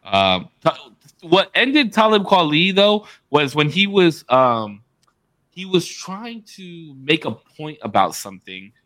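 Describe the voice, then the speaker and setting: Bright-voiced man in casual podcast banter. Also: a little high, very animated, takes it slowly, dry recording. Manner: loose, profane, rambling with restarts. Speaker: podcast co-host. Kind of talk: casual chat.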